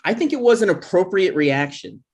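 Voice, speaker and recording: A man's voice: sits high, very animated, normal speed, darkish tone. A man playing an insecure, jealous boyfriend and grad student in a comedy table read, speaking in a hesitant, stammering way. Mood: defensive. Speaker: actor in a screenplay table read.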